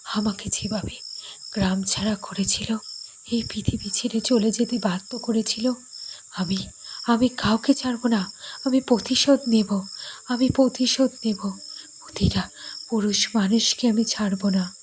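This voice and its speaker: Horror cartoon role: seething vengeful spirit of an outcast woman, breathy and half-whispered, performed by a woman, crickets behind. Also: medium pitch, fairly even intonation, a little slow, pauses often, a little mellow, a little hiss or hum, lightly acted. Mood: vengeful fury.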